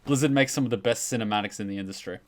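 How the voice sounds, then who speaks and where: A man's voice: on the low side, racing, noisy background. A man streaming casual, conversational storytelling commentary, explaining game lore in a jokey way.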